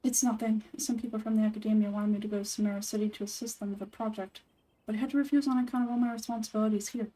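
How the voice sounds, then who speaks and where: A woman voicing a composed, sardonic young male forest ranger and scholar in a video game, speaking in a measured, matter-of-fact way. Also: medium pitch, fairly even intonation, dark tone, distant mic, lightly acted, poor audio. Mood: calm, explanatory.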